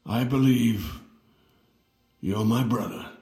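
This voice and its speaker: An actor playing an aged, weary twin brother in an audio drama, speaking in a halting, wry, reflective way. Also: male voice, mid-range voice, darkish tone, reverberant, soft background music, close to an everyday voice. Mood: measured, wry. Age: older. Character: aged, weary twin brother reunited with his lost sibling.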